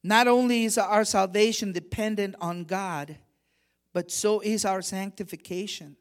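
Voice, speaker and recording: A middle-aged man from Honduras preaching a sermon, measured and emphatic, with quieter stretches for personal stories. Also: sits high, fairly even intonation. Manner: measured preaching, rising emphasis on key phrases. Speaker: guest preacher.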